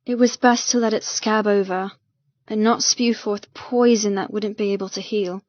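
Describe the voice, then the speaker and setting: A woman's voice: mid-range voice, steady tone, moderate pace, darkish tone, no echo. Woman narrating fiction, dull dry recording. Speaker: audiobook narrator. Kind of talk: scripted first-person fiction narration.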